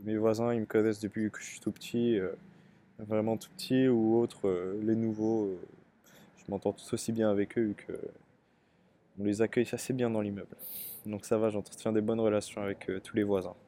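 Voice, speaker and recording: A young man with a Parisian French accent answers interview questions about his life and studies. He speaks thoughtfully, pausing often with fillers. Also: fairly low pitch, little pitch movement, mellow, slight background noise. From Paris area, France. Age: young adult. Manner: thoughtful, hesitant, frequent fillers. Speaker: interviewee, student.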